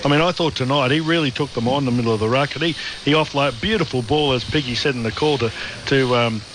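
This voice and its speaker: A man's voice: medium pitch, quick pace, background noise. An Australian man on a post-match radio panel, blokey and teasing his co-hosts. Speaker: radio rugby league commentator.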